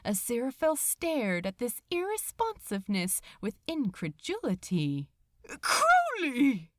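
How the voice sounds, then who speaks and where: A woman reading fiction aloud as a dramatic narrator, deliberate and expressive, with pauses between phrases. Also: a little high, no room sound, clean studio sound. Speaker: narrator of fan fiction audiobook. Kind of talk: dramatic scripted story narration.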